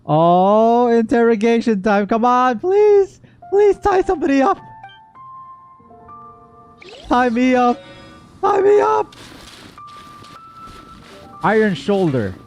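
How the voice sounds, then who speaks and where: A man's voice: very high voice, very animated, takes it slowly, pauses often, darkish tone. A man doing casual playthrough reaction commentary, goofy and theatrical, rambling and slipping into sing-song. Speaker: gaming YouTuber. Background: faint game music.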